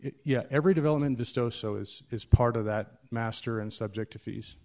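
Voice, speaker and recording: A man presenting at a public meeting, explaining and answering questions in a halting way with many fillers. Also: mid-range voice, a little mellow.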